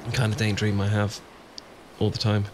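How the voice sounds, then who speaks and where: A man's voice: on the low side, completely flat delivery, normal speed, lightly acted. A British man playing an adorkable, bashful young friend in an ASMR boyfriend roleplay, hesitant, stammering and rambling. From Britain. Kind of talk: ASMR boyfriend roleplay, improvised-sounding casual chat. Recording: clear background noise with ambience.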